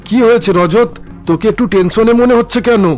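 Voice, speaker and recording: A man's voice: sits high, normal speed, a little mellow, soft background music, natural voice. A man playing an agitated, crooked businessman father in a cartoon drama, asking worried, indignant questions. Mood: concerned.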